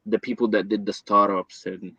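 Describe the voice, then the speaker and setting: A man from Egypt with a fairly low voice speaks bluntly and matter-of-factly in a casual interview. The sound is dull and lo-fi, with a dry, close feel and no room echo. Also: flat delivery, normal speed, sharp tone. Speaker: guest reseller.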